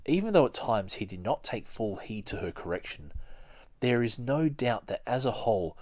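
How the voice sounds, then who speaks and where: A man's voice: mid-range voice, animated, normal speed, a little mellow. A man hosting a podcast, reading aloud and talking informally as he goes. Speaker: podcast host. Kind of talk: reading a book aloud with casual commentary.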